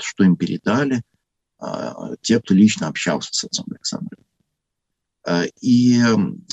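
A man with a husky voice giving an unscripted talk on church history, thoughtful and full of hesitations. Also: lowish voice, a little mellow, dry recording.

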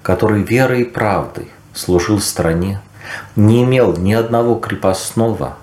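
Man, deep and slow, thoughtful lecturer, recorded with room echo. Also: a little mellow. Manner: measured, with pauses and recited verse. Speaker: speaker giving a talk on history. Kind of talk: reflective lecture-style talk. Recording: roomy echo.

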